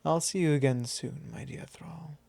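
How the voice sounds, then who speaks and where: Guy doing a possessive vampire lord asmr, soft mellow voice, super dry close sound, teasing and formal, drawly. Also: a little high, normal speed, vocal fry, no echo. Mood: smooth, ominous fondness. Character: possessive male vampire lord, teasing, calmly probing his thrall. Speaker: ASMR roleplay performer. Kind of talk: ASMR roleplay, intimate and unhurried.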